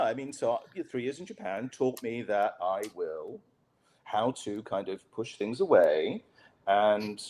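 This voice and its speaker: A man from Pakistan, a journalist guest telling stories in a relaxed interview, with wry, dry humor and lots of fillers and restarts. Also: mid-range voice. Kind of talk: conversational storytelling on a podcast interview.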